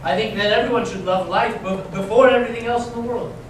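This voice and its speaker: A man acts an earnest young monk in a readers theater drama, with a high voice for a man. He is gentle and sincere, heard in a very echoey room with noticeable noise. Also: fairly even intonation, fast, close to an everyday voice. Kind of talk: staged readers theater reading. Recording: echoey room, distant mic, noisy amateur recording.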